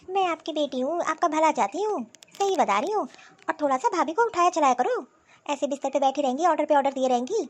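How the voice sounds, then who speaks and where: A sulky, envious grown daughter in a cartoon family story, voiced by a woman. Her high voice is full of sarcasm and complaint, the tone of a scheming sister-in-law. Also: expressive, a little fast. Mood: snide persuasion. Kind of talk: animated Hindi family drama dialogue.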